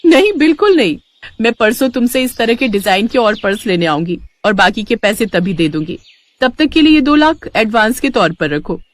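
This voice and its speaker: Woman voicing a kindly, lively young fairy. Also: fairly high pitch, normal speed, no room sound. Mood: eager. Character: generous young female fairy, confident and kindly.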